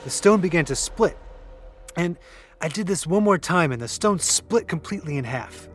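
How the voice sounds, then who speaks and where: A young man acting in an audio drama as a shaken young man who tells of things earnestly, with halting pauses. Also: fairly high pitch, close to an everyday voice. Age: young adult. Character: shaken young man recounting an eerie vision.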